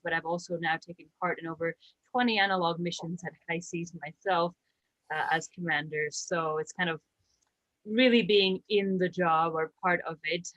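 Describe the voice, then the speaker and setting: A woman, presenting slides about her research in a brisk, explanatory way with a light joke here and there. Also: lowish voice, a bit bright, no room sound, rough recording. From Slovakia. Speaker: astrobiologist and habitat director.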